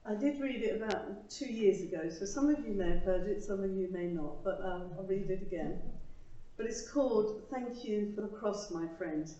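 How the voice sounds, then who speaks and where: A woman reading her own rhymed devotional poem to a church congregation, with earnest, emphatic phrasing and soft falling line endings. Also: medium pitch, normal speed. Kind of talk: rhymed devotional poetry recital. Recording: roomy, distant, slightly dull microphone pickup.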